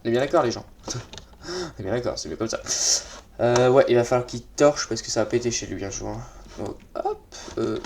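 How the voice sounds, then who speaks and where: Male let's play host, slightly congested, casual and hesitant, mid pitch, faint noise with keyboard clicks. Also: lively intonation, normal speed, darkish tone. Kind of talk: casual gameplay commentary. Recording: noisy mic with keyboard clicks.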